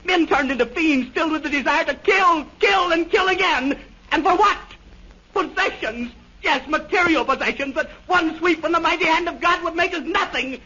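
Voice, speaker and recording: An actor playing a gentle but impassioned young conscientious objector, declaiming earnestly in 1930s radio drama style. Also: male voice, very high-pitched, normal speed. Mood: impassioned, anguished. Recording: dull, noisy 1930s radio recording.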